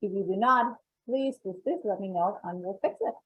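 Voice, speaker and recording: A woman's voice: medium pitch, normal speed. Colombian-accented woman on a tinny call. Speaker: membership coordinator. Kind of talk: presenting member benefits on a webinar. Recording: tinny phone-like call audio.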